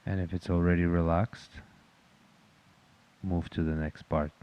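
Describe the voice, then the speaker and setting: A man with a very deep voice guides a meditation. He gives calm, measured instructions at a very slow pace, in a notably even tone that barely rises or falls. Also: clear, resonant tone, dull audio.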